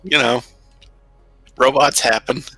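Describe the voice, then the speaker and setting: A man's voice: medium pitch, steady tone, slow pace, bright, forceful tone, slightly rough, no room sound, faint music behind. A man playing in a tabletop roleplaying game, wry and laughing at his own jokes. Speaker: player. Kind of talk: casual roleplay chat.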